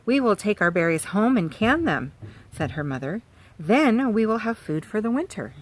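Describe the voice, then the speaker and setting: A woman voicing a calm, practical mother in a storybook, gently firm and instructing. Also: medium pitch, normal speed, poor audio.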